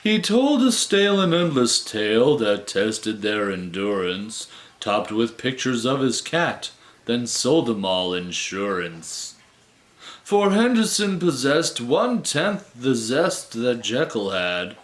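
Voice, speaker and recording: A man reads comic verse in a theatrical, playful way. His mid-range voice moves up and down with great liveliness, and he keeps an unhurried, rhythmic pace. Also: mid-range voice. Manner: expressive, rhythmic, playful delivery. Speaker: reader of comic poetry on own channel.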